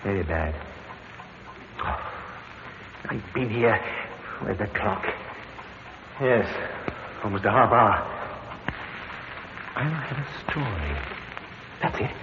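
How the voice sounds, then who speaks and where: Fretful guilty suspect, stammers, lively swoopy voice, tinny hissy old radio with long reverb. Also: male voice, on the low side, takes it slowly, long pauses. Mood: anxious muttering. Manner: fretful, stammering, self-justifying. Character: nervous middle-class murder suspect, guilty, indignant. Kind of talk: 1950s radio drama acting.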